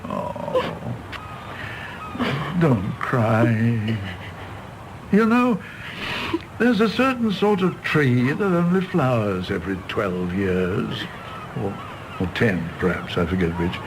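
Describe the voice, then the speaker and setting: An actor playing a world-weary, kindly, self-deprecating older doctor in a radio play, speaking in florid, expressive, drawn-out phrases. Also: male voice, mid-range voice. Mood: tender. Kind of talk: British stage-play radio drama acting. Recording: clear background noise.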